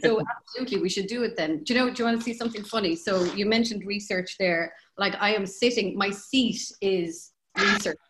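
A woman's voice: mid-range voice, a little fast, few pauses, noisy background. A woman who founded a startup, chatting casually as a guest, with lots of fillers and repeated words as she talks. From Ireland. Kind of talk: casual conversation.

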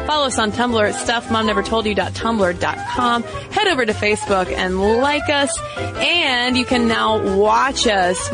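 A woman co-hosting a podcast in casual chat, thoughtful and hedging with fillers as she talks. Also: a little high. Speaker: podcast co-host.

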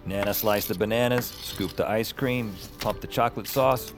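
A man's voice: fairly low pitch, moderate pace, music in the background, lightly acted. An actor playing a wry, rattled young man who narrates his own strange story in a colloquial, self-deprecating way. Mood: wry, reflective. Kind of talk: first-person audio drama narration.

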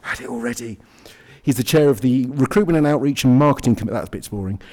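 Mellow-voiced man hosting an evening talk. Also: mid-range voice. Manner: informal, halting, with asides. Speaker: event host. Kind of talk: introducing a speaker.

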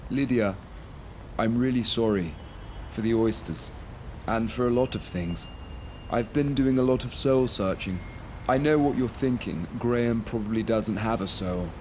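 Actor for audio drama ex-boyfriend: man, awkward, self-deprecating, halting slow speech, faint traffic ambience. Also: mid-range voice, darkish tone, lightly acted. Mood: apologetic. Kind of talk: naturalistic audio drama acting.

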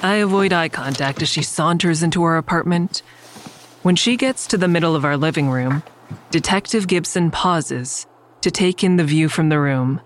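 A woman's voice: deep voice, normal speed, a little hiss or hum, natural voice. A woman playing a wary, wry character who narrates her own story in an audio drama, in a confiding way. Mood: descriptive, calm.

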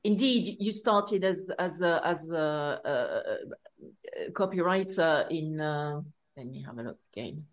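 A woman's voice: deep voice, lively intonation, a little slow. A woman hosting her podcast in casual interview style, speaking hesitantly with many fillers and restarts. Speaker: podcast host. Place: home in London.

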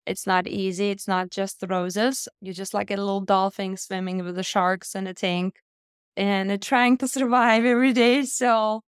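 Clear-voiced Lithuanian-accented woman telling her story. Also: medium pitch, little pitch movement, moderate pace, dry recording, clean studio sound. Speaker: interview guest, health entrepreneur. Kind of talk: conversational personal storytelling.

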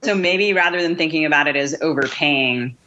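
A woman speaks as an interview host in a casual, conversational way. Her voice is bright and pressed, heard through slightly dull, lo-fi audio. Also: sits low, brisk. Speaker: podcast host.

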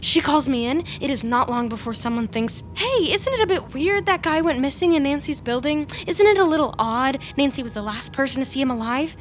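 A woman's voice: high-pitched, expressive, fairly quick, few pauses, a little hiss or hum. A woman playing an intense, wounded, obsessive young woman in an audio drama, talking in a wordy, sarcastic, insistent way. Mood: urgent, pressing. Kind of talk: audio fiction drama acting.

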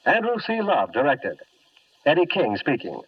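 A man's voice: on the high side, wildly swooping pitch, moderate pace, breathy edge, faint music behind. A man announcing in a formal 1950s radio style. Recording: dull, distant 1950s radio recording.

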